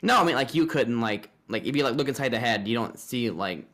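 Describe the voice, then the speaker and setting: A man's voice: mid-range voice, lively intonation, fairly quick, fairly bright tone. A man hosting a casual, joking chat with a sarcastic streak and some swearing, on an amateur recording. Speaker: host. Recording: amateur recording with bad audio.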